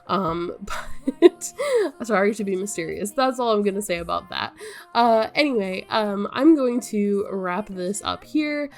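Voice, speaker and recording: A young woman chats to her viewers in a loose, rambling way, full of ums and likes. She is sick, so her voice sounds stuffy, nasal and tired, with a gravelly fry under her words. Her pitch sits in the middle range for a woman. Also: lively intonation, measured pace, a little mellow. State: stuffy-nosed and sick, voice wearing out. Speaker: gaming YouTuber. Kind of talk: casual chat to viewers.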